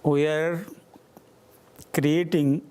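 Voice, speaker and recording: A man with an Indian accent, a spiritual teacher answering questions at a gathering in slow, deliberate phrases with long pauses. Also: a little high, flat delivery, warm tone, clean tone. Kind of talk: satsang talk answering questions.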